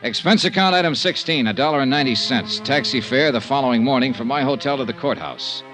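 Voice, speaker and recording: A man's voice: medium pitch, fast, over music, natural voice. An actor playing a cynical male insurance investigator with a wry, clipped delivery in a vintage radio drama. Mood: brisk, matter-of-fact. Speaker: lead actor and narrator. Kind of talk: 1950s radio detective acting.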